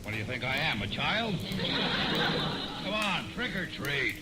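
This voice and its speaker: Pushy middle-aged man, blunt deadpan comic character, medium pitch, 1940s radio sitcom, heavy noise. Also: a little slow. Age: 50s. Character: deadpan, pushy 53-year-old man trick-or-treating. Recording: hissy, noisy 1940s radio recording.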